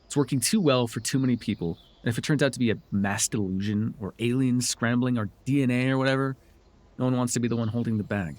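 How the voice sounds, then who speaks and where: A man's voice: mid-range voice, quick pace, darkish tone, natural voice. A man playing a smug, teasing telepath supervillain who mentors a hero, in a wry, theatrical yet conversational ASMR roleplay. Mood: earnest, exasperated.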